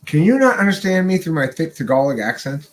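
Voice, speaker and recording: A man's voice: fairly high pitch, very animated, a little fast. Rambling, swearing young man. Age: young adult. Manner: rambling, profane storytelling with laughs. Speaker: podcast host. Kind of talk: casual livestream chat.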